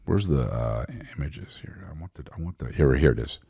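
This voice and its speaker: A man's voice: a bass voice, lively intonation, fairly quick, creaky, warm tone, breathy edge, no room sound, clean studio sound. A man hosting a comedy podcast, rambling casually in slang and profanity, scattered and often amused by his own jokes.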